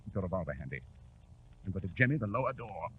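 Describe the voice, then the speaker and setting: An actor playing a brisk, commanding, self-assured detective in a theatrical, crisp 1940s radio drama style. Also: male voice, deep voice, big pitch swings. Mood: urgent, commanding. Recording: tinny, noisy 1940s radio recording.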